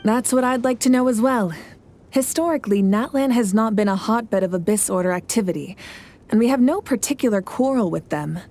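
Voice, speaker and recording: A woman's voice: on the high side, a little mellow, lightly acted. Woman playing a composed, regal war leader. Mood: grave, resolute. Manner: measured, formal, authoritative. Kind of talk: video game dialogue acting.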